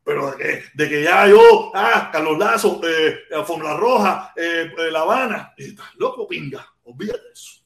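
A man's voice: on the high side, animated, normal speed, creaky, hardly any pauses, fairly bright tone. A Cuban man with a Cuban accent hosting an informal livestream, chatting with commenters in repetitive, slangy, swear-laden talk. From Cuba. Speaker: livestream host. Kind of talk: informal live political rant and chat with commenters. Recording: echoey untreated room.

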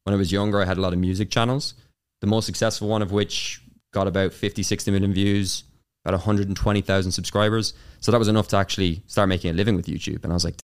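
A young man with an Irish accent, a guest on an interview show, answering in a casual, rapid way full of fillers and restarts. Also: low voice, steady tone, smooth. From Ireland. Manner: fast, filler-heavy with many restarts. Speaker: YouTube consultant, guest.

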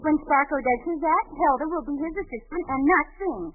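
An actress playing a sensible, affectionate young housewife who speaks earnestly and firmly in 1940s radio comedy. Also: female voice, sits high, a little fast, narrow, tinny audio, slight background noise, natural voice. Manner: earnest, plain-spoken. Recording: thin, band-limited 1940s radio broadcast.

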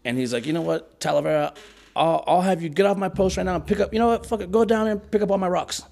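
A man's voice: high-pitched, fast, creaky, a little mellow. A man from the San Francisco Bay Area, a Marine veteran guest, telling war stories in casual, profane slang. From San Francisco Bay Area, United States.

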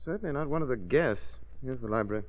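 Casting: composed, curious amateur detective for old radio drama, male actor; mid-range, measured, polite, slightly muffled sound. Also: mid-range voice, animated, very noisy, close to an everyday voice. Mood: curious, intent. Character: composed, inquisitive gentleman sleuth. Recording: dull vintage radio recording.

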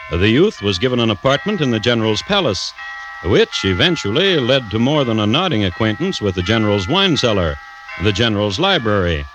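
Radio drama narrator, man: droll and deliberate, expressive swoops in pitch, music clearly behind the voice. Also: poor audio. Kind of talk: wry scripted radio storytelling.

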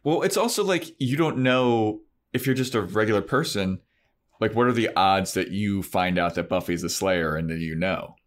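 Male podcast host, low-pitched voice, informal conversational delivery. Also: lively intonation, moderate pace. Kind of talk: casual chat about a TV show.

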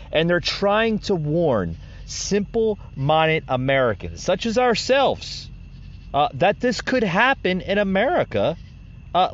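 A man hosting an opinion podcast, talking emphatically and often calling his listeners folks. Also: fairly high pitch. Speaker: podcast host. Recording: dull lo-fi sound with faint noise.

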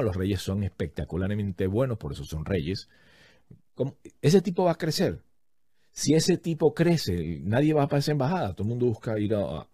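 A man's voice: sits low, dark tone, breathy edge, no room sound. A man telling a story in a casual, comedic way, animatedly acting out what other people said.

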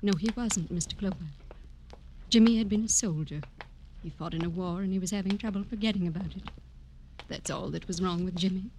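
A woman playing a gentle, troubled hospital nun with a hushed, hesitant manner in a vintage radio drama. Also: lowish voice, a little fast, lightly acted. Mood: earnest, uneasy. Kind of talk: 1950s radio drama acting. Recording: noisy old radio recording.

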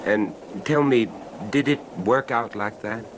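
An actor playing a probing, measured male listener drawing out a confession in an old time radio drama. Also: lightly acted. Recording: noisy old radio recording, rumbling background.

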